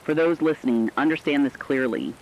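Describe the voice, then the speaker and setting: A man playing a serious radio news anchor, reading a scripted emergency bulletin with deliberate, emphatic phrasing. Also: medium pitch, slight background noise, close to an everyday voice, rough recording. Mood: stern, foreboding. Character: stern, urgent radio news anchor reporting an apocalypse. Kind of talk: scripted emergency broadcast narration.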